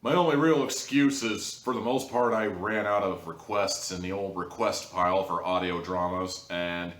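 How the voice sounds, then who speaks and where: Male reviewer, lower register, wry and rambling, casual commentary with mock-dramatic stresses, roomy distant sound. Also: fairly bright tone. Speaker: audio drama reviewer, show host. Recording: roomy echo, distant mic.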